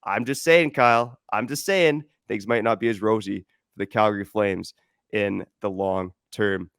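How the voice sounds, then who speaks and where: A man hosting a sports podcast, laying out his views in a measured, stat-heavy way with a lot of "you know". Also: on the low side, creaky, a bit bright, dry recording, clean studio sound. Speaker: podcast host. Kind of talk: casual sports analysis.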